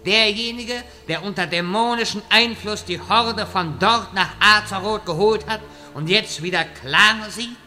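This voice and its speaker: A man's voice: high voice, unhurried, few pauses, pushed and bright, faint music behind, exaggerated voice. An actor playing an old wizard who sells portal services, with pompous speeches and salesman patter in a comedic radio play. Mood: grandiose. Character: old male wizard turned hustling locksmith, pompous.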